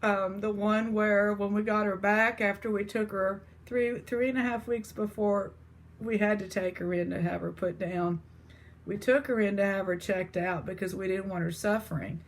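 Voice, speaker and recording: A woman's voice: mid-range voice, fairly even intonation, moderate pace. A woman with an East Texas accent chatting casually to her viewers as an online seller. She rambles, with lots of fillers and restarts. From East Texas, USA.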